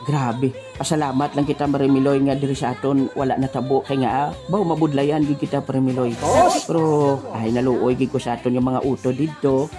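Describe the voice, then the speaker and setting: A woman's voice: very deep voice, steady tone, a little fast, pitched below the performer's own voice. Woman doing a folksy old Visayan grandpa. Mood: concerned. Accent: Western Visayan Filipino. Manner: folksy, emphatic, repeatedly addressing a crony. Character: chatty, pious, sociable old grandpa. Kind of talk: comic radio chat in character. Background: music.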